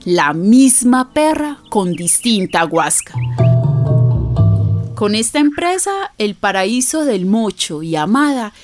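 A woman's voice: mid-range voice, very animated, slow. Woman narrating dramatically over music. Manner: storytelling, dramatic. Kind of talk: scripted radio drama narration. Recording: clear music bed behind.

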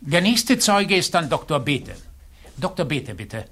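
A man's voice: a little high, big pitch swings, unhurried, fairly bright tone, full character voice. An actor playing a courteous, formal chairman running an official hearing in a German radio play. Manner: formal, ceremonious.

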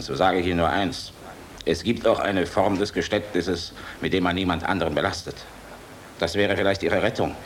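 An actor in a German radio play, playing a middle-aged air force general, weary and decent, measured and frank with dry irony. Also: male voice, sits low. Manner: measured, frank, dryly ironic. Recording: noisy radio play recording.

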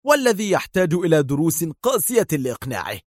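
A man's voice: fairly high pitch, very animated, fast, dry recording, studio quality, pitched above the performer's own voice. A man voicing a confident, sarcastic secret agent hero in a storybook thriller, taunting and decisive. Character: mocking, supremely confident male Egyptian secret agent hero.

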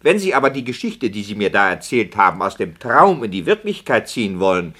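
An actor playing an argumentative, pompous radio play director, speaking in a rhetorical, sardonic manner in a 1960s German radio drama. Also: male voice, fairly low pitch, sing-song, fairly quick, bright, forceful tone, heavily acted. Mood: indignant.

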